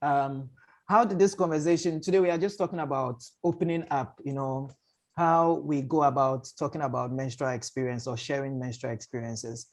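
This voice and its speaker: A man's voice: medium pitch, fairly even intonation, normal speed. Casual male panel moderator. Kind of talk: casual chat, moderating an online discussion. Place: online video call.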